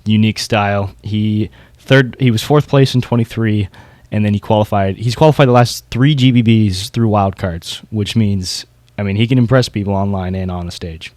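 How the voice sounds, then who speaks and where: Male podcast host, lower-pitched, informal and conversational, recorded dry. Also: moderate pace, darkish tone, no echo. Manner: informal, running through rankings and opinions. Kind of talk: casual discussion of beatbox competitors.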